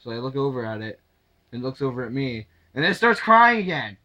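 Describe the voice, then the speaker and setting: A young man telling a casual anecdote to camera in a halting, sweary way, acting out the dialogue as he goes. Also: mid-range voice, sing-song, pushed and bright, poor audio. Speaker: storyteller.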